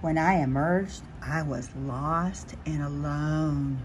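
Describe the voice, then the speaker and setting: Female picture-book reader: slightly low, very unhurried, expressive storytelling, light background noise. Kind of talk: expressive children's read-aloud.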